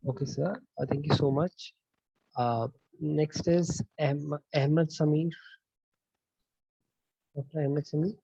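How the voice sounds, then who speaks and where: A man with a fairly high-set, mellow voice moderates an online session. He is polite and informal as he hands over to participants. The sound is dry and somewhat dull. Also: fairly even intonation, takes it slowly, gravelly, pauses often, husky, no echo. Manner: polite, addresses people as sir and ma'am. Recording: dull online meeting audio.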